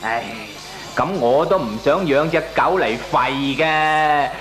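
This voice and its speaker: An actor playing a shameless, glib dog owner in a radio comedy drama, arguing back with a Hong Kong Cantonese accent. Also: male voice, on the high side, slow pace, a bit bright, soft background music. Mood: stubborn, unbothered. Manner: glib, chuckling, argues back with lame excuses. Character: shameless, jovial dog-owning male neighbour brushing off complaints.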